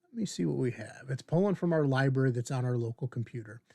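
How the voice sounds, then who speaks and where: A man in his fifties hosting a casual screen-share software tutorial, informally thinking aloud as he demonstrates. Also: mid-range voice, lively intonation, fast, gravelly, no room sound. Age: 50s. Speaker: video tutorial host.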